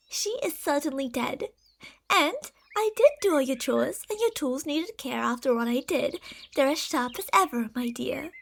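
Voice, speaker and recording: A woman's voice: high-pitched, a bit bright. A woman voicing a possessive, delusional lamia monster in an ASMR roleplay, speaking in a haughty, theatrical, sweetly gaslighting way. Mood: cold, doting.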